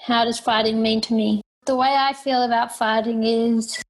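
Young Australian woman, fairly high voice, plain unpolished delivery, interview guest. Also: fairly even intonation, moderate pace, poor audio. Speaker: guest, professional fighter. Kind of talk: interview answers.

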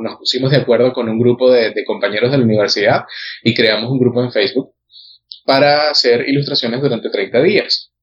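A man with a Venezuelan accent, a guest photographer telling his story in a relaxed way, with many hesitations and repeated words. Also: medium pitch, dull audio. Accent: Venezuelan Spanish. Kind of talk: casual interview chat.

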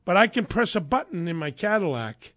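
A man in his fifties with a high voice for a man. He banters casually on a radio talk show and jumps in with jokes and quick remarks. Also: sing-song, fairly bright tone, no room sound, clean studio sound. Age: 50s. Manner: joking, jumping in with quips.

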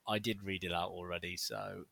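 A man's voice: low voice, level tone, moderate pace, gravelly, sharp tone. A man co-hosting a podcast, theatrical, jokey and sarcastic in casual chat. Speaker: podcast co-host.

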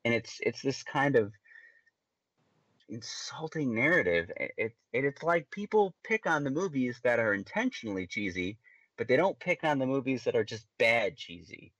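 A man's voice: medium pitch, big pitch swings, normal speed, a bit bright, no echo. Man, podcast co-host, ranting, stammering restarts, muffled audio, dry room. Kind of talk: casual podcast chat.

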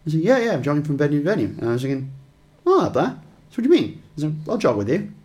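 A man's voice: fairly high pitch, huge pitch swings, racing, darkish tone. Chatty Welsh man, rambling. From Wales. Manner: rambling, with many fillers and restarts. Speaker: guest musician. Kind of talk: casual interview chat.

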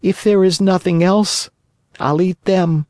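A man's voice: high voice, fairly even intonation, measured pace, darkish tone, no echo, studio quality, pitched above the performer's own voice. A man voicing a hungry, stubborn wooden puppet boy from a storybook, with a childish, complaining manner. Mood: pleading.

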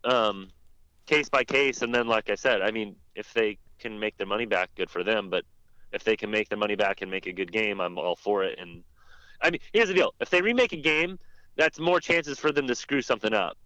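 A man chatting casually as a podcast panelist about video games, rambling and haltingly rephrasing himself. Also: on the low side, sharp tone, smooth, dry recording. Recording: dry, dull lo-fi audio.

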